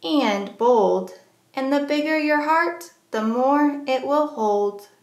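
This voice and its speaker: A woman with a high-pitched voice reads a children's picture book aloud in a roomy, echoing space. She phrases the rhymes in a measured, deliberate way, as a storyteller does for young listeners. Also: lively intonation.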